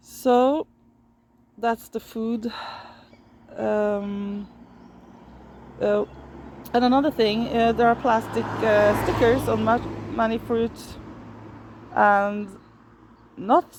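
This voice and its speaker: A woman with a Norwegian accent explains things off the cuff for a vlog in a fairly high voice. She speaks slowly and hesitantly, often searching for English words. There is faint ambient noise behind her. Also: fairly even intonation, a little mellow. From Norway. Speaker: vlogger. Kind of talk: unscripted explanatory vlog talk.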